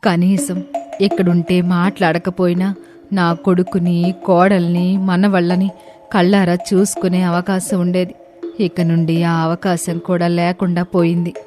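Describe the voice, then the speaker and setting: Woman acting a lonely, tender old grandmother, low-pitched. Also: level tone, a little slow, warm tone, faint music behind, lightly acted. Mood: sorrowful. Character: lonely, affectionate elderly grandmother longing for family unity.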